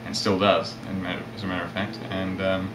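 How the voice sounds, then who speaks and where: Male interview guest, mixed South African-English-Australian accent, reflective and halting, recorded in a noisy, roomy space. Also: sits low. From South Africa, with years in England and Australia. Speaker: interview guest, ukulele player and magazine editor. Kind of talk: casual interview chat. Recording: roomy echo, steady background noise.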